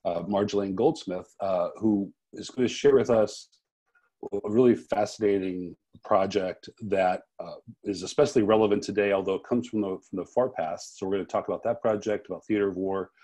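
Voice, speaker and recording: A man hosting an unscripted interview, speaking haltingly and rephrasing his questions as he goes. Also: lowish voice, fairly even intonation, warm tone. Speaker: interviewer and festival host.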